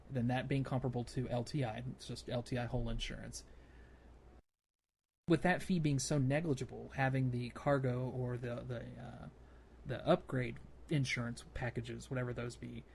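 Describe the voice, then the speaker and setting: A man co-hosting a gaming podcast, discussing things casually and thinking aloud in a measured way. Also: mid-range voice, darkish tone. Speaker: podcast co-host. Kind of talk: casual discussion about a video game. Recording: clear background noise.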